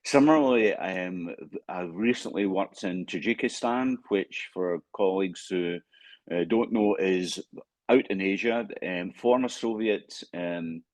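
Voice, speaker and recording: A Scottish man speaking as a panelist, pausing often with fillers and restarting his sentences. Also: low voice, dry recording. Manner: halting, frequent fillers and restarts. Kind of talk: conference panel remarks.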